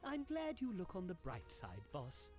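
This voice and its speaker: Fretful minion in a video game, eager to please the boss, highish bouncy voice, faint music. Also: male voice, huge pitch swings, a little slow, heavily acted. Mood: worried. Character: fretful, deferential male henchman reporting to his boss.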